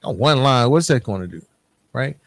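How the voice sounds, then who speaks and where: A man's voice: mid-range voice, lively intonation, moderate pace, a bit bright, no echo. A man hosting a solo podcast, talking candidly and informally in a half-scripted, conversational way, checking in with "right?" as he makes his points. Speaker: podcast host.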